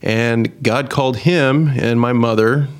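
Guy from idaho, missionary, calm steady storytelling, average pitch. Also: unhurried, clean tone. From Idaho, United States. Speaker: missionary guest. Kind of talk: casual interview talk.

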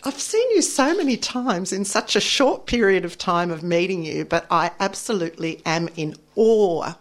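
A woman's voice: lowish voice, normal speed. Bubbly woman radio host. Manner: bubbly and effusive, with lively emphasis. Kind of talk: radio program hosting. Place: radio studio.

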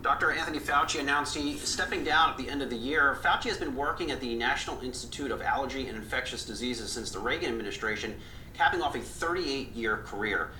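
A news anchor reading a scripted lead-in quickly and crisply. The tone is bright. The clip sounds roomy, with faint hiss behind it. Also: medium pitch. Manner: crisp broadcast delivery.

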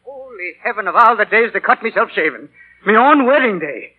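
An actor playing an energetic young Irish tradesman in a 1940s radio drama, exclamatory and hearty, with an Irish accent. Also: sits high, fairly quick, husky, heavily acted. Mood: flustered. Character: energetic young Irish cabinet maker, flustered bridegroom. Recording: old narrow-band radio broadcast.

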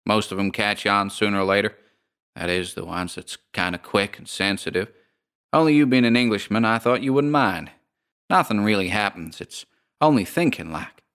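A man's voice: on the low side, fairly bright tone, clean tone. Man putting on a drawling, unbothered landlady. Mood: cool, drawling. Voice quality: metallic voice. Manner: slow folksy drawl. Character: coolly unbothered American landlady of a haunted boarding house.

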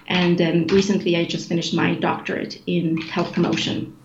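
A woman's voice: fairly low pitch, little pitch movement, moderate pace. A woman in her forties, born in Chile, answering interview questions in a thoughtful, explanatory way with frequent fillers and restarts. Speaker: guest, executive director of an obesity organization. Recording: echoey room, dull lo-fi sound, faint noise.

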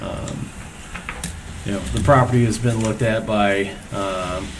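A man's voice: fairly low pitch, fairly even intonation, a little slow. A man on township staff reporting at a public board meeting, hesitant with many fillers and restarts. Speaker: township zoning staff member. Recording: distant mic, noisy room.

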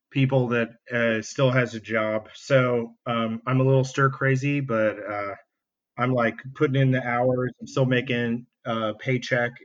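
Laid-back man on a dull call. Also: mid-range voice. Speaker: interview guest, band musician. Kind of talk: casual remote interview. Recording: slightly dull remote call audio.

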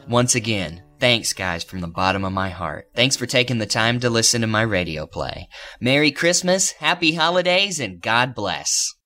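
A man's voice: fairly low pitch, bright, forceful tone. A man speaking earnest, appreciative thank-you credits as the producer of a radio play.

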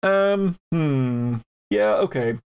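A man's voice: medium pitch, animated, extremely slow, a little mellow, clear, resonant tone, no echo. Man game master, dry close sound. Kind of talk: narrating an actual-play tabletop game.

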